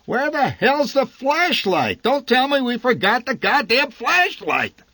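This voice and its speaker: A man voicing a blustering, short-tempered middle-aged father who shouts and curses at his family. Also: medium pitch, very animated, drawn-out words, no room sound, pitched above the performer's own voice, exaggerated voice. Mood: shouting, angry. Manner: shouting, cursing, exasperated.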